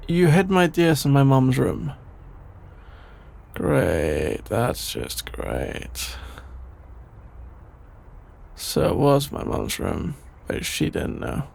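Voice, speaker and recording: Shy, sleepy young male best friend for ASMR audio drama, played by a man: unhurried, gravelly vocal fry, hesitant and easily flustered delivery. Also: medium pitch, darkish tone, heavily acted. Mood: deadpan, resigned. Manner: hesitant, halting, trailing off with sighs and nervous chuckles. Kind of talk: intimate ASMR audio roleplay, improvised-sounding dialogue.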